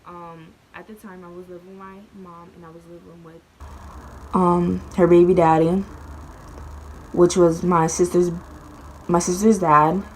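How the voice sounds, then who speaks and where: A young woman vlogger telling a childhood story in casual chat, rambling with many fillers and restarts, her voice often dropping very quiet. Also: lowish voice, little pitch movement, measured pace, pauses often, slight background noise, poor audio.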